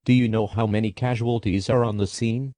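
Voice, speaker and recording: A man playing a TV news anchor who puts formal, measured questions to a reporter in a scripted breaking-news scenario. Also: on the low side, fairly even intonation, dark tone, slight background noise, close to an everyday voice. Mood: serious.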